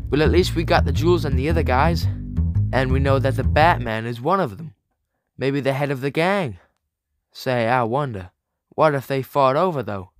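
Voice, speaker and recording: A man's voice: mid-range voice, normal speed, no room sound, faint music behind, lightly acted. A man playing brash 1930s tough guys with blunt, slangy talk in a comic book audio drama. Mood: brash, scheming. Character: male 1930s gangsters and cops, brash, tough.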